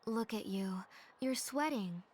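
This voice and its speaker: A woman voicing a caring, fussing mother in an anime-style game, with a gentle manner. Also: medium pitch, expressive, takes it slowly, background noise.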